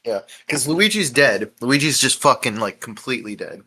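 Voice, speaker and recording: A man's voice: medium pitch, lively intonation, moderate pace, bright, forceful tone, husky. A man hosting a casual podcast chat, rambling and hesitant, swearing freely as he goes. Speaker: podcast host.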